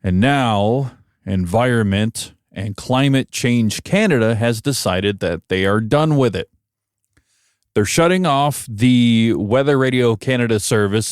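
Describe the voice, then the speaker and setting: An American man giving conversational solo commentary as a podcast host, wry and emphatic, pausing for effect. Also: mid-range voice, dry recording, studio quality. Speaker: podcast host and broadcast engineer.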